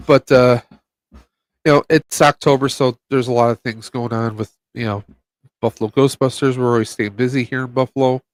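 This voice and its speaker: Stuffed-up man, halting casual chat. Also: medium pitch, steady tone, dry recording. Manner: halting, many fillers and restarts. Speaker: podcast guest. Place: at home.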